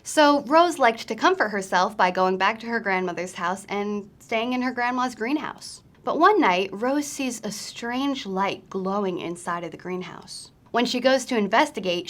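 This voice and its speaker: High-voiced woman presenting book picks. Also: lively intonation, measured pace, hardly any pauses, a bit bright. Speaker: host of a book recommendation series. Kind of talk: scripted book recommendations to viewers.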